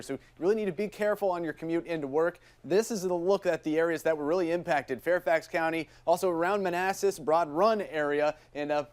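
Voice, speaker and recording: Man, weather forecaster, fairly high voice, ad-libbed forecast, music in background. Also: darkish tone.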